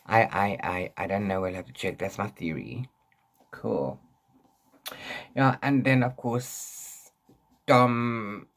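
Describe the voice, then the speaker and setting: A man's voice: lowish voice, slow pace, pauses often, a bit bright. A man talking casually as a book vlogger, rambling and often interrupting himself with repeated words. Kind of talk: casual book chat to camera.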